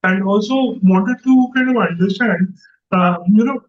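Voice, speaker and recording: A man's voice: high-pitched, a little slow, muffled recording. A man calling in as an analyst, asking hesitant, rambling questions on an earnings call over an unclear line. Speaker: analyst caller.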